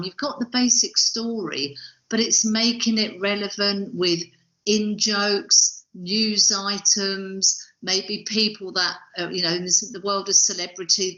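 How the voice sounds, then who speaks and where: A woman's voice: medium pitch, bright, forceful tone. A woman hosting a podcast in a conversational interview style, asking questions and introducing topics. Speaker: podcast host. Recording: dull, lo-fi audio.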